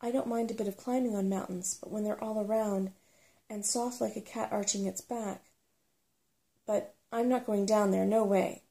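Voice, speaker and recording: Storybook brownie, female, bossy and sceptical played by a woman, mellow tone with gravelly edges. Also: medium pitch, fairly even intonation, natural voice. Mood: stubborn. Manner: brisk, sceptical, blunt. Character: bossy, impatient female brownie with paws and claws. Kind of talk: storybook character reading.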